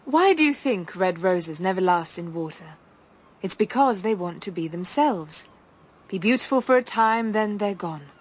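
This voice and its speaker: An actress playing an enigmatic, detached young woman with a husky voice in a radio drama, answering briefly and unruffled. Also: medium pitch, expressive, normal speed. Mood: wistful.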